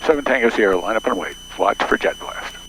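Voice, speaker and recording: A man's voice: lowish voice, lively intonation, fast talker, fairly bright tone. Male small-plane pilot on a noisy radio. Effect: aviation radio transmission. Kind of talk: radio calls to air traffic control. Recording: noisy cockpit radio audio.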